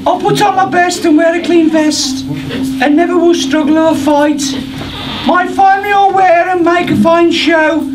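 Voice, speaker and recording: A man's voice: extremely high pitch, steady tone, moderate pace, music in the background. A man playing a vain, flustered, saucy ugly stepsister in an amateur rhyming pantomime. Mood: preening, flustered. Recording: distant stage recording with loud audience.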